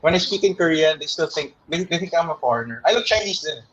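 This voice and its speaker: Casual interview guest: a young man, a bit high-pitched, easygoing and loose, recorded muffled. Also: lively intonation, fast, a bit bright. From Philippines. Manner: switches between English and Tagalog in loose, rambling sentences.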